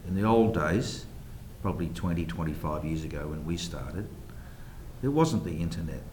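Deep-voiced New man, echoey room. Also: a little mellow, slight background noise. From New Zealand. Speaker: guest, private equity investor. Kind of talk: radio interview at a banquet. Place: banquet hall.

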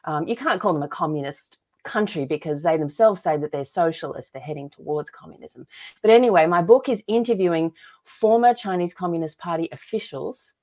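A woman's voice: lowish voice, lively intonation, a little fast, darkish tone. A woman with an Australian accent, speaking earnestly and emphatically as a guest telling personal stories in a conversational interview. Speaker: podcast guest, author and filmmaker.

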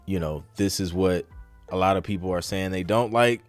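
A man's voice: deep voice, normal speed, dry recording, faint music behind. A man hosting a podcast in a casual live Q&A, talking informally with slang and thinking aloud as he goes. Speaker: podcast host answering listener questions.

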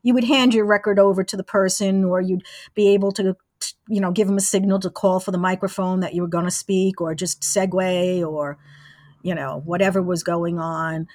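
A woman in her sixties talks as an interview guest, reminiscing in a conversational way. Her voice sits a little low for a woman and the sound is very dry, with no room around it. She explains how things worked one step at a time. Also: fairly even intonation, moderate pace, darkish tone, no room sound. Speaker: interview guest, former college radio engineer.

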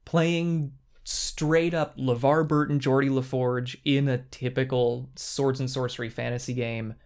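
A man co-hosting a casual podcast chat about tabletop games, enthusiastic, talking in quick bursts with playful asides. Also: mid-range voice, level tone, darkish tone.

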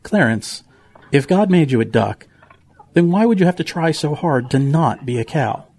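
Man playing a creaky-voiced, earnest preachy pig. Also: fairly high pitch, expressive, normal speed, a little mellow. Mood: earnest, admonishing. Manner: earnest, admonishing. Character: earnest, preachy male pig with a fussy nervous streak. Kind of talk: children's audio drama acting.

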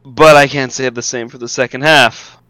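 A man co-hosting a casual anime review podcast, ranting in an excitable, exasperated way. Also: medium pitch, normal speed, clean tone, no echo. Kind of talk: casual review chat with mocking quotes.

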